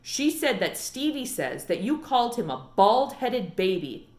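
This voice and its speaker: Lady doing a serious preacher dad from a storybook, formal n gently stern, echoey room. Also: fairly high pitch, big pitch swings, measured pace. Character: reserved, grave preacher father, gently stern. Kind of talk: storybook read-aloud character dialogue.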